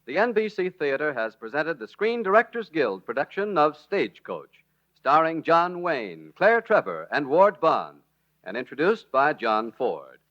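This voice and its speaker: Crisp formal 1940s radio announcer. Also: male voice, fairly high pitch, sing-song, measured pace, fairly bright tone, lo-fi sound.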